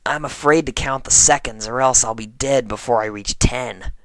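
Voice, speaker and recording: A performer reading fan fiction aloud as a dramatic first-person narration, in a deliberate manner. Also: mid-range voice, no room sound.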